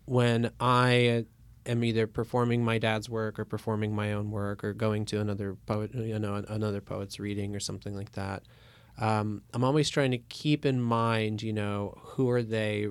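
A man in his thirties, born in Moscow, talking thoughtfully as a guest with many pauses and fillers. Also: lowish voice, little pitch movement, clear, resonant tone, dry recording. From Russia. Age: 30s. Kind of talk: casual interview talk.

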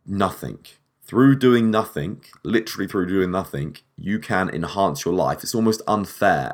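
A young man with a husky, deep voice, hosting a solo podcast and rambling with restarts, a bit dehydrated. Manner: rambling, repeats and restarts words. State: a bit dehydrated and short on sleep. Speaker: podcast host.

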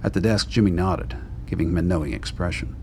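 A man's voice: low-pitched, a little fast, darkish tone, slight background noise. A man reading scripted dramatic narration as the narrator of a radio play. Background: music.

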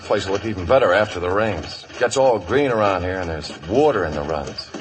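Earnest nervous cowboy, lowish voice, halting, old radio drama with a bit of noise. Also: male voice, lively intonation. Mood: gentle, hopeful. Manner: halting, pleading. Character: earnest, uneasy young cowboy, guilt-ridden fiancé. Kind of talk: 1950s radio Western acting. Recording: noisy old 1950s radio broadcast.

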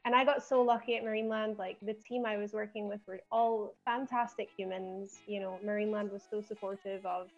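A young woman with a softened Glaswegian lilt talks in an earnest, chatty way, laughing easily as she goes. Her voice sits at an ordinary middle pitch. The sound is a little dull and lo-fi, with faint music underneath. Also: fairly even intonation, fairly quick, few pauses. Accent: softened Glaswegian Scottish. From Scotland. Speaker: podcast guest. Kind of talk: casual chat.

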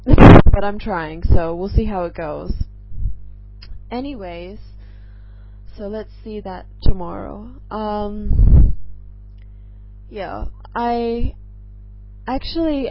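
Young english-born woman w/ a mostly american mishmash accent, slow nervous rambly podcast chat, lots of ums, dull lo-fi sound. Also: mid-range voice. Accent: mixed, mostly American-sounding. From England. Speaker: podcast host. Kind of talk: casual solo chat. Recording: dull lo-fi recording, faint music.

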